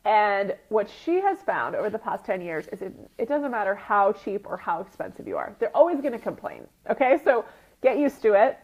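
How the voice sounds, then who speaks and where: A woman with a mid-pitched, slightly sniffly voice speaks as a coach in an informal live teaching session. She explains step by step in a conversational way, often tagging on quick check-in questions. The recording is somewhat dull and lo-fi. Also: big pitch swings, slightly rough. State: sniffly with a runny nose. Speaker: retreat business coach and group host. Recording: dull lo-fi audio.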